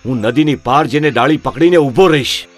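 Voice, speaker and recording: A man's voice: mid-range voice, a little fast, faint music behind, close to an everyday voice. A man voicing a brave monkey king in a children's cartoon story, commanding and urgent.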